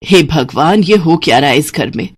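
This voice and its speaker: A woman puts on an older mother-in-law for an animated moral tale. Her voice sits lower and sounds weary, with the measured scolding of a household elder. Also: breathy edge. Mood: exasperated. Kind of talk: animated moral story character voice.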